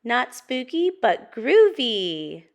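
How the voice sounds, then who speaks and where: A woman's voice: a little high, very animated, slow pace, vocal fry, voice pushed up, full character voice. A woman voicing an eager, exclaiming young boy cat from a children's storybook. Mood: cheerful. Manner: exclaiming, wide-eyed and eager.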